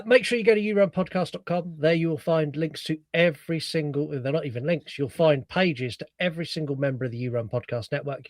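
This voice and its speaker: A man's voice: on the high side, darkish tone, no echo. Nasal man with a cold hosting. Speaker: podcast host. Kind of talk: casual chat and show plugs.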